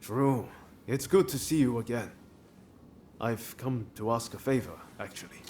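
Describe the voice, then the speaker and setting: An actor playing an easygoing, friendly young samurai reformer in a video game, casual and easily flustered. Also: male voice, medium pitch, measured pace, a little mellow, slight background noise, close to an everyday voice. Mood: earnest. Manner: casual, friendly, hesitant when cornered. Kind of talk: video game cutscene acting.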